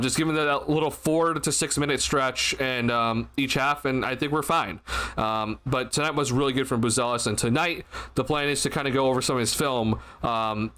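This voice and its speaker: A man's voice: mid-range voice, bright, forceful tone, smooth. Tired young man streaming sports talk. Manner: rambling, many fillers and restarts. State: tired, slightly stuffy. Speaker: sports livestream host. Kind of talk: casual postgame livestream chat. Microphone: desk mic at a streaming setup.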